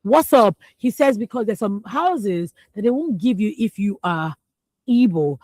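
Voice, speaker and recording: A woman's voice: medium pitch, unhurried, no room sound. A young Nigerian woman co-hosting a radio newspaper review, reading headlines and then giving emphatic, exclamatory opinions. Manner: animated, emphatic, exclamatory, laughs between points. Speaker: radio news review co-host. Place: radio studio.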